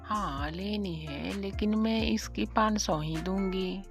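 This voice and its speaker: Woman acting slow-talking crafty village sister. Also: medium pitch, gravelly, music in the background. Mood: bargaining. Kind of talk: animated moral story acting.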